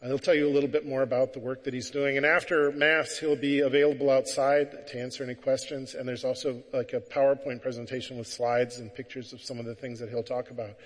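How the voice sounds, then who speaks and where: A man, the parish priest, introducing a visiting bishop to the congregation in a relaxed way, with some filler words. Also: medium pitch, fast, few pauses, long reverb tail. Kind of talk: introducing a guest speaker at Mass.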